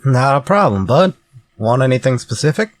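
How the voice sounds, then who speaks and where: A man's voice: medium pitch, big pitch swings, normal speed, dry recording, natural voice. Man acting laid-back buddy, dry sound. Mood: helpful, casual. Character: easygoing male drinking buddy offering ideas. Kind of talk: retro radio drama acting.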